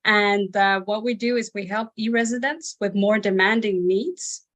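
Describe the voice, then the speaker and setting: A woman's voice: mid-range voice, moderate pace, a bit bright. A woman working in sales, introducing her company as a webinar guest, speaking with frequent hesitations. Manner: hesitant, many uhs. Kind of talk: webinar presentation.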